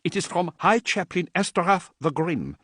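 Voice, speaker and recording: A man's voice: high voice, sing-song, normal speed, a bit bright, breathy edge, no echo, studio quality, pitched above the performer's own voice, full character voice. A man doing a terrified young messenger. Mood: nervous. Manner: nervous, overeager. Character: nervous male messenger, terrified and eager.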